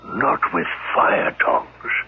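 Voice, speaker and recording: An actor playing an anxious, bewildered driver, asking questions in a shaken, uneasy manner in a radio drama. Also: male voice, very slow, slight background noise. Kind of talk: 1970s radio drama acting. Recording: muffled, narrow-band vintage radio broadcast.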